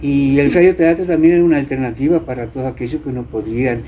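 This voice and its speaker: An Argentine man recounting history conversationally as an interview guest, halting now and then as he searches for names. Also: mid-range voice, fairly even intonation, warm tone. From Entre Ríos, Argentina. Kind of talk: conversational storytelling. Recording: muffled, clear background noise.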